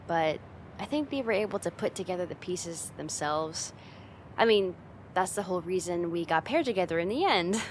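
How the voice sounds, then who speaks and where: A woman's voice: medium pitch, slight background noise. A young woman playing a friend's teasing, affectionate older sister in a casual, intimate ASMR roleplay. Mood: playful, confiding. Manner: teasing, giggly, soft sighs, casual hesitant phrasing.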